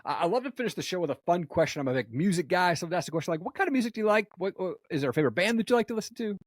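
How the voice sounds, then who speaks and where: A man's voice: high voice, lively intonation, racing, no echo, clean studio sound. Encouraging man hosting a podcast. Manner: friendly, encouraging. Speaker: podcast host. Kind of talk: casual interview.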